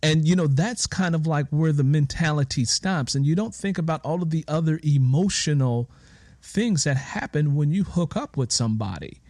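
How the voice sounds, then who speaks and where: A man hosting a conversational interview, speaking in deliberate, wordy phrases. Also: on the high side, expressive, darkish tone, dry recording, clean studio sound. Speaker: interview host.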